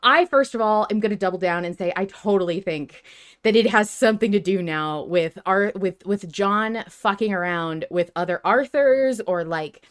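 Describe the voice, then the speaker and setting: A woman's voice: mid-range voice, moderate pace, clear, resonant tone. A woman hosting a casual fan discussion podcast, talking in a chatty, opinionated way. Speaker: podcast host.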